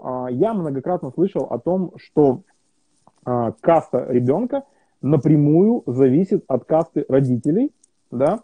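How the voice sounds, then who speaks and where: Interview guest, male, husky voice over a tinny phone-like line, halting delivery full of fillers. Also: mid-range voice, no room sound. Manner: hesitant, many fillers and restarts. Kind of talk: casual conversational interview.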